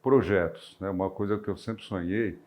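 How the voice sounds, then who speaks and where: A man's voice: fairly even intonation, normal speed, darkish tone. An older retired male physician with a rural São Paulo interior accent, recalling his career with many hesitations and restarts. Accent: rural São Paulo interior, near Minas Gerais. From interior of São Paulo state, Brazil. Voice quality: deep voice. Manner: hesitant, with frequent restarts and filler sounds. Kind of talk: reflective personal recollection.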